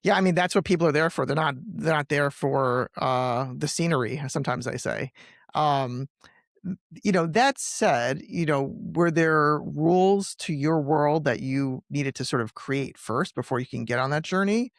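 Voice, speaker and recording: A man hosting a conversational interview, often halting and rephrasing his questions as he goes. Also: fairly high pitch, creaky, no room sound, clean studio sound. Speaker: podcast host and interviewer; film teacher.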